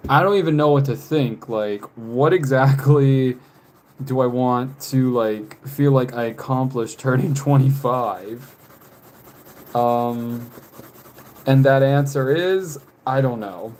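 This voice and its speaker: A man in his twenties chats casually on a live stream, taking his time with each thought. His words come out slowly and wander from point to point, broken up by easy little laughs. His voice sits at an ordinary middle pitch. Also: darkish tone, a little hiss or hum. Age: 20s. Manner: rambling, laughs often, reads chat messages aloud. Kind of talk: casual chat while drawing on a live stream.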